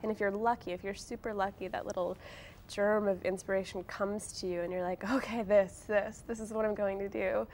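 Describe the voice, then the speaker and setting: Young female author as interview guest, conversational and loose, gravelly fry in the voice. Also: mid-range voice, steady tone, a little fast, darkish tone, slight background noise. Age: young adult. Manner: informal, hedging, peppered with "like" and "sort of".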